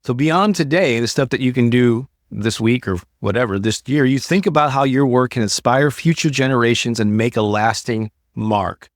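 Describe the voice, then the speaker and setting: A man's voice: mid-range voice, normal speed, few pauses, no echo, studio quality. Encouraging older man giving a motivational talk. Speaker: host, motivational creativity coach. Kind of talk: motivational talk to a live online audience.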